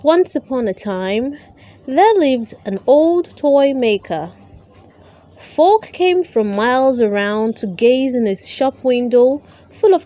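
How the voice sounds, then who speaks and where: A woman narrating a children's storybook aloud, with careful, expressive storytelling. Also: high-pitched. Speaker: storyteller, channel host.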